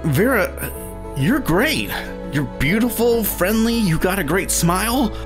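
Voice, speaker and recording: Animated fan series: actor as kind, flustered young man, very lively pitch, music underneath. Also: takes it slowly. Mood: earnest, indignant. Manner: stammering, earnest.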